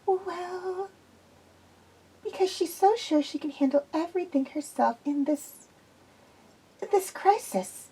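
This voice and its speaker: A woman voicing a nervous, jumpy young woman who speaks haltingly. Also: extremely high pitch, dark tone, pitched above the performer's own voice. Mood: anxious. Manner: anxious, halting.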